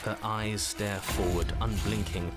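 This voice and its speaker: An actor voicing a visual novel's storyteller and inner voices in a theatrical, expressive manner. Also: male voice, lowish voice, measured pace, lightly acted. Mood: grim, measured. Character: shifting inner voices: stern storyteller, anxious hero, cynic. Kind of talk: dramatic visual novel narration and dialogue. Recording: music behind the voice.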